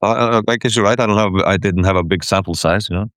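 A man from Iceland talks about his past as a guest in a conversation, stumbling and repeating words as he restarts his thoughts. His voice is very deep, and the recording is close and dry. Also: fast, a bit bright, clear, resonant tone, dry recording. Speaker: caller, guest. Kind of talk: casual personal conversation.

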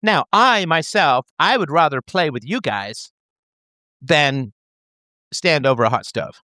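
A man's voice: fairly high pitch, measured pace, dry recording. A man in his thirties in a casual chat, explaining an idea playfully as if talking to a child. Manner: animated, playful, talks as if to a child.

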